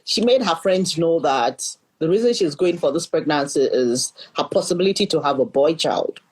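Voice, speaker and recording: A woman's voice: low voice, animated, normal speed, a little mellow, husky. A middle-aged Nigerian woman, a leadership coach, earnestly telling her life story as a podcast guest and often repeating words as she thinks. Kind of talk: storytelling in an interview.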